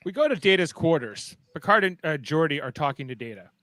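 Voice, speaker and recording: A man's voice: on the high side, sing-song, brisk, fairly bright tone, dry recording. A man narrating a radio-theatre script, reading out stage directions.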